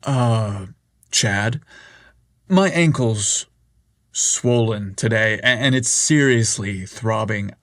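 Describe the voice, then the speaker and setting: Narrator voicing a polite young lawyer, hesitant and stammering, measured and dry. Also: male voice, fairly low pitch, fairly bright tone, dry recording.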